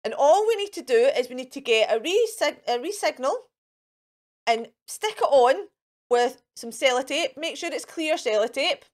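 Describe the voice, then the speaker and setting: A Scottish woman with a fairly high voice hosts for young children. She talks in a chatty, encouraging way, as if straight to the viewers at home. Her phrases are simple and often repeated, with little questions to keep the children involved. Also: animated, normal speed. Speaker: children's storytime host. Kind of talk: chatty children's craft and activity presenting to camera.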